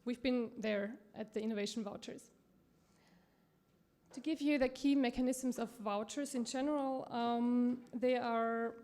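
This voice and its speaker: A woman from Austria with an Austrian accent, giving a conference presentation in a halting way with many fillers and self-corrections. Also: fairly high pitch, darkish tone. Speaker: conference speaker from a promotional bank.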